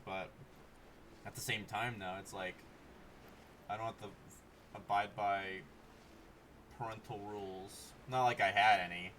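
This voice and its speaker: Low-voiced young man on a noisy distant mic. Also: steady tone, measured pace, long pauses, pushed and bright. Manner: blunt, swears freely. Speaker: game streamer. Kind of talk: casual rambling gameplay commentary. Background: game sounds, clicking.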